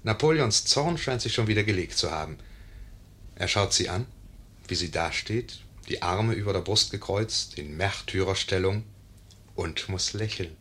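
Low, husky, wry radio narrator. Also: male voice, animated, bright, forceful tone, exaggerated voice. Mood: wry, amused. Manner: wry, measured, addressing listeners. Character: wry, discreet male storyteller commenting on the scene. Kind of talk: 1950s radio play narration.

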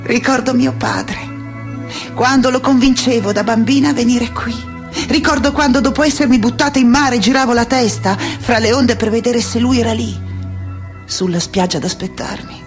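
An actress playing an anguished, defiant, aging woman scholar in a radio play, speaking in halting, impassioned repetitions. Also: on the high side, fairly even intonation, lightly acted. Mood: weary, wistful. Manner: halting, repeating words, impassioned. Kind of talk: dramatic radio theatre monologue. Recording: music under the voice. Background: music.

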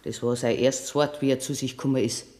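An actress with a Bavarian accent playing a talkative, protective hospital nurse in a German radio drama. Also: female voice, rumbling low, fairly even intonation, fairly quick, warm tone. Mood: protective, sombre. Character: chatty, protective female hospital nursing sister.

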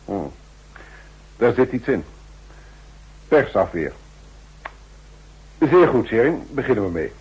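An actor playing a measured, skeptical president in a 1960s radio drama, terse and questioning, with a husky voice. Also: male voice, medium pitch, very animated, a little mellow, exaggerated voice. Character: measured, skeptical male federal president, brief and authoritative. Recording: muffled old 1960s radio recording.

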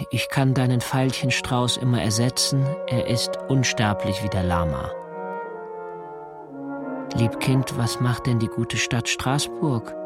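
An actor playing a young letter writer, discontented yet tender, reciting literary text in a radio play. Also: male voice, mid-range voice, close to an everyday voice.

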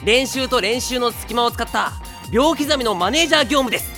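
A man playing a brash, intense, theatrically proud high school boy in an anime audio drama, grandiose and earnest. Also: expressive, brisk, pushed and bright, soft background music, heavily acted.

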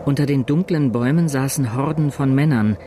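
An actor playing a storyteller who narrates a chronicle for a radio play in a measured, suspenseful way. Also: male voice, medium pitch, noisy background, close to an everyday voice. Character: dramatic male storyteller reading a newspaper chronicle. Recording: narration over music.

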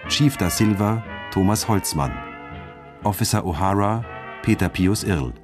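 A man's voice: lowish voice, lively intonation, unhurried. A man reading radio drama credits formally as an announcer. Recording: studio announcement over clear brass music.

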